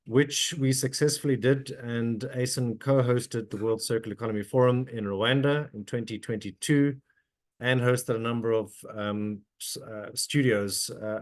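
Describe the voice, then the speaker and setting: Hoarse, halting middle-aged man. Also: mid-range voice, a little mellow. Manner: halting, frequent ums and uhs, restarts. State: hoarse with a cold. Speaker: webinar speaker. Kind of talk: presenting at an online webinar.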